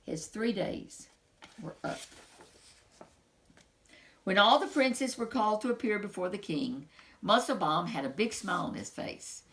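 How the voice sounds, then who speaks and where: A woman reads a children's story aloud as a storyteller. Her mid-pitched voice moves through a wide, lively range, with dramatic, expressive phrasing. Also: measured pace, vocal fry, pauses often. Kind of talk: children's picture book read-aloud.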